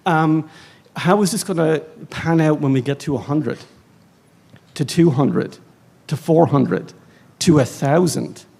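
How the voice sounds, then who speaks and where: An Irish man speaking as a librarian on a conference panel, rambling and animated, with many fillers and self-mocking asides. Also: on the high side, slow, vocal fry, pauses often. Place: conference stage.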